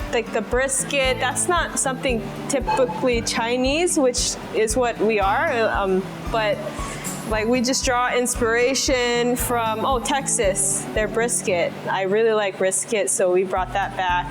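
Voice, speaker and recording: A woman's voice: fairly high pitch, fairly even intonation, measured pace, music in the background, low-quality recording. Casual woman explaining her food. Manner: casual, filler-heavy. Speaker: family business owner.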